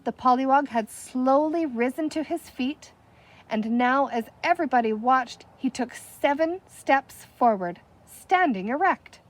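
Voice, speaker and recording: A woman narrating a children's storybook in an expressive, scripted read-aloud style. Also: high-pitched. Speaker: storybook reader.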